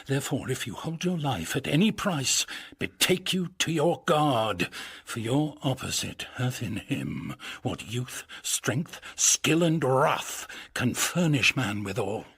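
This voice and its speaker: Husky, swooping, pompous scheming knight. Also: male voice, mid-range voice, big pitch swings, slow pace, a bit bright, heavily acted. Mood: mock menace. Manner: pompous, theatrical, savouring grand words. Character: boisterous, scheming knight and uncle, relishing mischief. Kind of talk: Shakespearean radio comedy acting.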